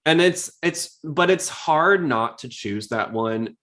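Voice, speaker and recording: A man's voice: on the high side, lively intonation, slow pace, a bit bright. A man hosting a casual fan podcast, chatty and full of opinions. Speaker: podcast host.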